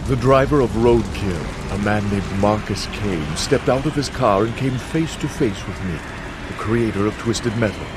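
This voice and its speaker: An actor playing a sinister, gloating showman villain in a video game, with a grandiose, drawn-out, theatrical delivery. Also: on the low side, lightly acted. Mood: dramatic storytelling. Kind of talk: dramatic villain monologue and narration. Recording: heavily noisy old game audio.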